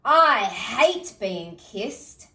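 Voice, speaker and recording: A woman's voice: fairly high pitch, very animated, extremely slow, bright, forceful tone, recorded from a distance, heavily acted. Woman acting a grumpy big bad storybook wolf, echoey. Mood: disgusted. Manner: growling, snarling, exclaiming. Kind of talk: children's storybook character voice.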